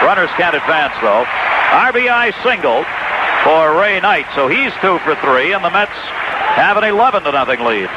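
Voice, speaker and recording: An American man calling baseball play-by-play live on 1980s radio. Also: on the high side. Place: stadium broadcast booth. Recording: noisy, dull vintage AM radio broadcast.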